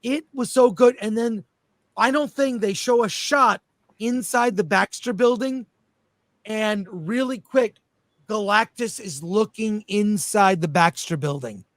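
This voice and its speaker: A man hosting a casual panel chat, telling things in an enthusiastic, halting way. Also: high-pitched, no echo. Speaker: host.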